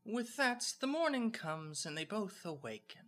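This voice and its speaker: A woman's voice: medium pitch, sing-song, moderate pace, fairly bright tone. A woman performing scripted storybook narration of a comic adventure in a motion comic radio play. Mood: measured. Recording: music behind the voice.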